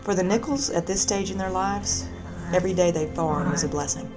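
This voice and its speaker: A woman reporter reading a scripted narration in a measured, even way. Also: on the low side. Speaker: news reporter. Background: music.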